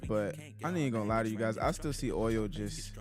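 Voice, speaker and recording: Laid-back, slangy low-voiced host. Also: male voice, fairly quick. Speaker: trading stream host. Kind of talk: live stream chat while trading.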